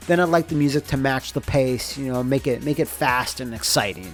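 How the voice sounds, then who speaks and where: A man giving an informal, conversational behind-the-scenes narration as a game developer, explaining things in a casual way. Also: fairly high pitch. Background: music under the voice.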